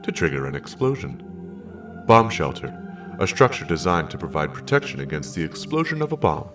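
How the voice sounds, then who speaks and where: Dude doing impassive computer announcer, really deep, short official phrases, bit far from mic, music behind. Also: male voice, very animated, normal speed. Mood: impassive, procedural. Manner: terse, official, fragmented phrases. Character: impassive encrypted-message machine announcing transcripts and analysis. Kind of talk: scripted sci-fi system announcements. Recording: distant voice over music.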